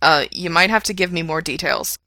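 Woman voicing a forceful, flustered male punk radio DJ. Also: sits low, a little fast, no room sound, studio quality. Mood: flustered, eager. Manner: emphatic, blunt, on-air patter.

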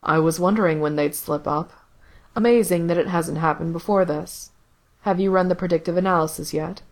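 A woman voicing a calm, controlling male superior who speaks in a measured way. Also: on the low side, natural voice. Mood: cool. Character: cool, controlling male boss.

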